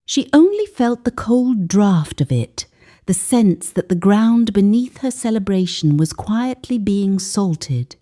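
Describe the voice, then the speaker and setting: Slow, expressive woman storyteller with mellow voice. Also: medium pitch. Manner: measured, deliberate, expressive, with weighted pauses. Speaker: storyteller, narrator. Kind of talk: dramatic scripted folktale narration.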